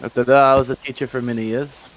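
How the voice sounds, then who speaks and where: A man's voice: medium pitch, flat delivery, fast, close to an everyday voice, rough recording. A man playing a humble older villager who speaks simple, broken English in a meek, hesitant way.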